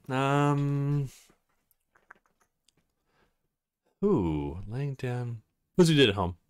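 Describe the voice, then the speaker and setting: A man's voice: medium pitch, expressive, slow pace. A man voicing an awkward, easygoing male student with a hesitant manner in a visual novel.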